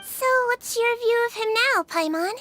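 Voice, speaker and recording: A woman voicing a small, gentle head nurse in a video game, polite and composed. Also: very high voice, expressive, normal speed, slight background noise, full character voice. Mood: gently probing. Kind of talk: video game character acting.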